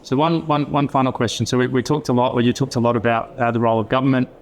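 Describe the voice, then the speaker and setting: A man hosts the interview and asks his questions in a conversational, unhurried way. He hesitates often, repeating words and filling gaps with "uh" and "um." His voice sits at an ordinary middle pitch. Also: fairly even intonation, a little hiss or hum. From New Zealand. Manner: conversational, hesitant, many fillers and repeated starts. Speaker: interviewer, host.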